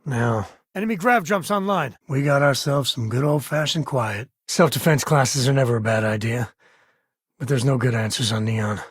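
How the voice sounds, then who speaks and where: Rugged male space explorer for a video game, played by an actor: mid-pitched, husky, plainspoken, folksy, protective. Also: moderate pace, no echo, clean studio sound, natural voice. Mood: urgent, commanding. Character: rugged space cowboy explorer, wry, loyal, protective adult man. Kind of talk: video game character voice lines.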